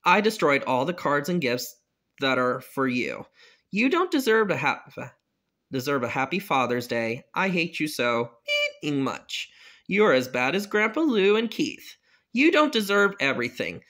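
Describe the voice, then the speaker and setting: A bratty, scheming boy with a low, mumbly, sneering voice, as a performer puts him on. He talks slowly and keeps his voice dropped down. His lines sound like homemade drama acting. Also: big pitch swings, fairly bright tone. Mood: spiteful.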